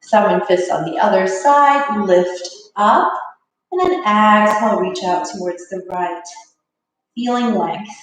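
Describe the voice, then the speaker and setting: A woman leading a live online yoga class, her voice a little rough from allergies, giving calm, guiding cues. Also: mid-range voice, takes it slowly, distant mic, a little hiss or hum. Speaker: yoga instructor. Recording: echoey room, away from the mic.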